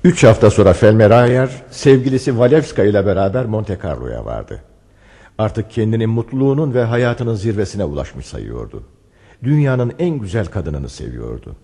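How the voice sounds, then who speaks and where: Deep, husky, reverberant male narrator. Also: mellow. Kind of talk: scripted radio drama narration.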